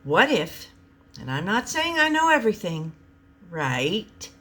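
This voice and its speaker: Awkward male analyst played by a woman, hesitant, tentative, slow-spoken, comic story. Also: medium pitch, sing-song, fairly bright tone, voice pushed up, exaggerated voice.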